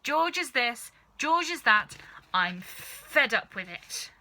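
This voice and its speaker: A horrid old grandmother from a children's storybook, played by a woman reader, bossy and furious. Her voice is forceful and very sharp, pitched up into angry yelling. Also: sing-song, slow pace, exaggerated voice. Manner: yelling, demanding, bad-tempered.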